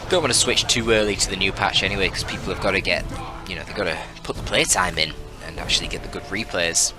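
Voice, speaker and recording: A man's voice: deep voice, quick pace, music in the background. A man with a bunged-up voice giving casual, sweary, rambling commentary on a video game match with joking asides. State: congested, bunged-up voice.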